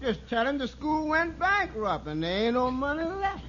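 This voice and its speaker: An actor playing a shifty, self-satisfied crony in a 1940s radio sitcom, telling tales in an excitable, folksy, ungrammatical way. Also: male voice, extremely high pitch, normal speed, exaggerated voice. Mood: smug. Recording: muffled, noisy old radio recording.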